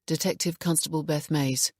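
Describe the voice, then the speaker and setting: A woman voicing a calm, sensitive female detective who speaks gently and patiently. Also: low voice, normal speed, dry recording, clean studio sound. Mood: gentle.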